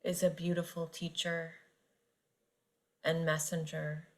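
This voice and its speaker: Woman, meditation guide, lowish pitch, very slow pace, gentle delivery, long pauses. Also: completely flat delivery. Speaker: yoga nidra meditation guide.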